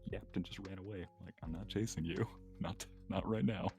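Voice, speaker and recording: A man's voice: low-pitched, normal speed, darkish tone, faint music behind. A man chatting casually as a guest voice actor on a livestream.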